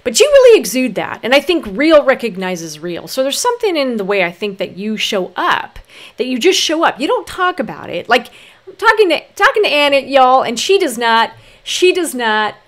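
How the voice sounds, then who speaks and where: A woman hosts a casual interview, effusive and full of praise. Her pitch sits a little high and moves around a lot as she talks. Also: very animated, normal speed. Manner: effusive, warmly encouraging, uses y'all. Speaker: host.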